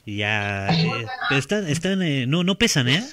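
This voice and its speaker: A man's voice: medium pitch, big pitch swings, normal speed, noisy background. Streamer guy in his 30s, casual talky gaming chat, headset mic, repeats himself a lot. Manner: chatty, repeats words, laughs often.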